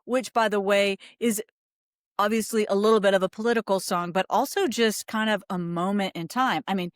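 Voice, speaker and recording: A woman speaks as a podcast host in a dry, close recording, at an average pitch for a woman. Her delivery is conversational and effusive, wandering through her thoughts before reaching the question. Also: brisk, no echo. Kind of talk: casual conversational interview.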